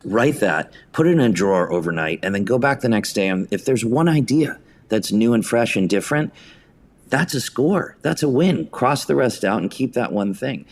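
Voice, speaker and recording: A man's voice: medium pitch, animated, moderate pace. A man being interviewed as a theatre director, telling stories conversationally and acting out bits of dialogue. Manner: anecdotal, hesitant phrasing, acts out quotes.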